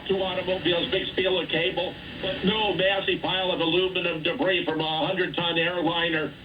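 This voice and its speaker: A man giving spoken commentary on a shortwave radio broadcast. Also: high voice. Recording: noisy, muffled shortwave radio broadcast, distant.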